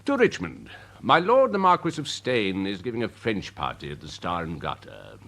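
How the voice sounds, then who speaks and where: A pompous older English major for a radio play. The voice is low but swoops dramatically up and down, upper-class, fussy and snobbish, full of theatrical bluster. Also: male voice, huge pitch swings, normal speed, vocal fry, a bit bright, exaggerated voice. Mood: smug, fussy. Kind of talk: British radio drama acting.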